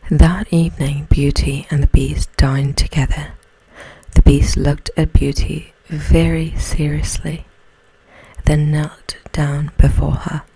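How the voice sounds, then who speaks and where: A woman whispers a bedtime story in a hushed, breathy ASMR style, close to the mic. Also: low voice, flat delivery, poor audio. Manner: slow, hushed, soothing delivery.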